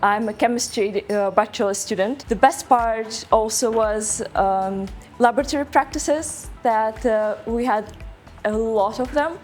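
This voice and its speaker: A young woman from Russia, a chemistry student talking haltingly about her studies. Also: on the high side, darkish tone, soft background music. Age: young adult. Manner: halting, searching for words. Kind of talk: casual testimonial.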